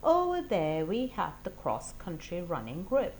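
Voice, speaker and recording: Storybook schoolmaster, played by a woman: mild family man with a cheerful scheme, slow and expressive delivery. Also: fairly low pitch, a little hiss or hum, heavily acted. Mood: showing round.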